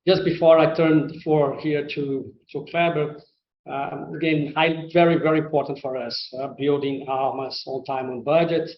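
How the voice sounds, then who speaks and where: A man who speaks English as a second language, presenting company results to investors as an executive, in a halting, hesitant way with repeated words. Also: fairly high pitch, fairly even intonation. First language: not English. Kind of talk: semi-scripted earnings call presentation to investors. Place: conference call line in a roomy space. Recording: roomy, muffled conference-call audio with faint noise.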